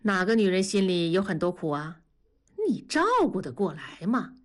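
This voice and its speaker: A woman voicing a blunt, rough-talking middle-aged man, teasing and scolding his friend. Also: on the low side, moderate pace, natural voice. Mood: warning.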